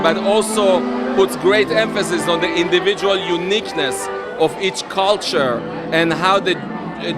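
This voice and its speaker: A middle-aged man from Iran speaking in a measured, earnest way, pausing with fillers. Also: a little high. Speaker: honoree. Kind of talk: casual interview. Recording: noisy loud music and crowd.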